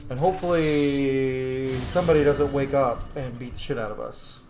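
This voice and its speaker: A man's voice: mid-range voice, moderate pace, darkish tone, reverberant, slight background noise. A man giving casual let's-play commentary as a video host, playful and sassy and swearing freely.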